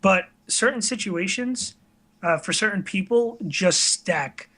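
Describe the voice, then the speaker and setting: A man in his late teens with a high, bright voice talks as a guest in a casual interview. He is candid and self-reflective, often hesitating as he works out what to say. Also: expressive, slow pace. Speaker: interview guest, online video creator. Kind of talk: casual chat.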